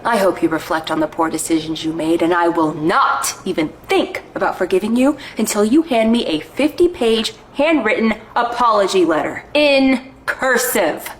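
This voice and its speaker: A woman's voice: mid-range voice, lively intonation, normal speed, few pauses, slight background noise, natural voice. A woman voicing a cat owner who lectures her pet with sarcastic, overdramatic scolding.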